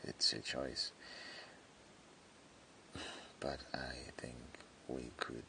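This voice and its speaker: A man voicing a jealous tsundere friend confessing hidden love, speaking haltingly in an intimate boyfriend ASMR roleplay. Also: level tone, background noise, heavily acted. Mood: hesitant, sulky. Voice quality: very deep, gravelly. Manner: hesitant, halting, with stammered repeats.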